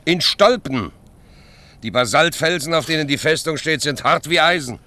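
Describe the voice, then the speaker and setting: A deferential courtier. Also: male voice, on the high side, expressive, normal speed, pushed and bright. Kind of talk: radio drama acting.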